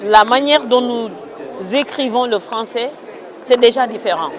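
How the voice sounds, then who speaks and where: A woman's voice: on the high side, unhurried. A woman from Senegal, an author answering interview questions outdoors in a thoughtful, hesitant way with many fillers. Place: outdoors in a town. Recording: crowd noise outdoors. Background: crowd chatter.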